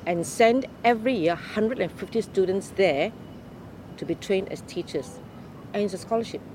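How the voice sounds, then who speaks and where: An older woman from Malaysia tells her story in an interview, taking her time and pausing to explain things. Her voice sits at an ordinary middle pitch. Street and vehicle noise comes through clearly behind her. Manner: unhurried storytelling, careful explaining. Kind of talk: oral history interview.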